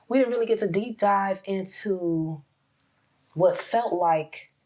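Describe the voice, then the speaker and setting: A hoarse woman hosting a casual roundtable talk, reflective and searching for words. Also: medium pitch, slow, mellow. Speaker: podcast host.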